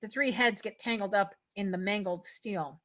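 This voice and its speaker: Woman narrating a script read, crisp stage directions, really bright forceful voice. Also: medium pitch, moderate pace.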